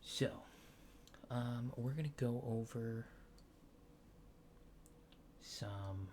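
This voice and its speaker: A man playing a laid-back, faintly apologetic alien fixer in a soft, hesitant, often near-whispered ASMR roleplay. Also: medium pitch, drawn-out words, a little hiss or hum. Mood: businesslike, gentle. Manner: hesitant, understated, dryly matter-of-fact.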